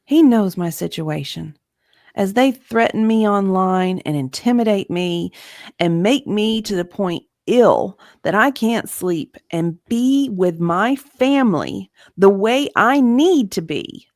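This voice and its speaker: A woman's voice: medium pitch, lively intonation, slow pace, dry recording, studio quality. A woman reading the part of an aggrieved, intimidated journalist giving hesitant testimony as a witness in a deposition. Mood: hurt. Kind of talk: dramatic reading of a legal deposition transcript.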